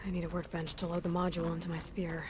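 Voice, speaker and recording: A woman's voice: fairly low pitch, completely flat delivery, fast, noisy background, lightly acted. An actress voicing a determined young female hunter and explorer in a video game, with a practical, purposeful delivery. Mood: focused, purposeful. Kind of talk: video game cutscene dialogue.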